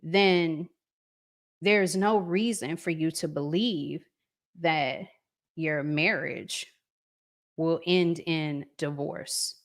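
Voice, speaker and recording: A woman's voice: low voice, steady tone, drawn-out words, pauses often. A woman hosting a casual live chat, talking conversationally and reflectively and putting questions to her listeners. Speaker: host reading and answering viewer comments.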